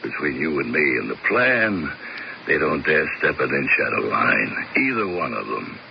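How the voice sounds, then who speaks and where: An actor playing a big, blunt, cocky hired killer with a gravelly voice and offhand folksy talk, in a 1970s radio drama. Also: male voice, extremely low pitch, sing-song, moderate pace, exaggerated voice. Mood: smug. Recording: dull, noisy 1970s radio recording.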